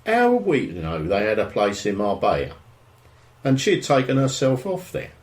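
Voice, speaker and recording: Man acting middle-aged suburban husband, English accent, grumbling, dry, nosy, animated mid-pitched voice, naturalistic radio play. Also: normal speed, echoey room. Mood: grumbling, resigned. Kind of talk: naturalistic audio drama dialogue.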